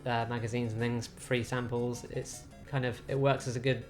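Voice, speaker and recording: A man who owns a games cafe talking about his business in a relaxed, rambling way with many fillers. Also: mid-range voice, normal speed, music in the background.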